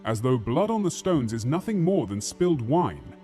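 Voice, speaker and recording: A man playing a brash, foul-mouthed, battle-hardened soldier in a fantasy audio drama, with a crude, forceful manner. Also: medium pitch, very animated, normal speed, soft background music, close to an everyday voice. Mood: bitter, angry.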